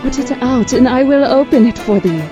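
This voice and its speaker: An actress playing an anxious, loving, insistent mistress in an audio drama, pleading in archaic formal speech. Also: female voice, high-pitched, brisk, muffled recording, over music, natural voice. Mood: distressed.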